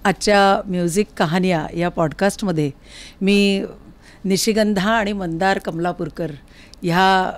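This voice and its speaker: A woman's voice: mid-range voice, steady tone, unhurried, slight background noise. Woman hosting a conversational podcast interview. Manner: encouraging, interjects short acknowledgements. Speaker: podcast host. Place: recording studio.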